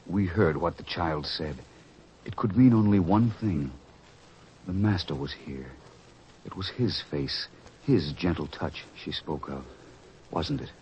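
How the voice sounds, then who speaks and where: An actor playing a grave, compassionate apostle in an old-fashioned radio drama, speaking formally. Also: male voice, low voice, unhurried, darkish tone, highs cut off, a little hiss or hum. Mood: gentle, humbled. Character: grave, compassionate apostle, humble leader of the group.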